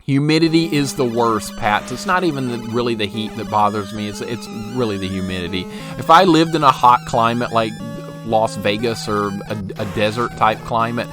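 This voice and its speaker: A man's voice: medium pitch, normal speed, few pauses. A man, chatting casually and rambling while he streams a retro game. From Alabama. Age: 40s. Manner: rambling, self-interrupting, chatty. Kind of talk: casual live-stream chat while playing. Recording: game music playing behind.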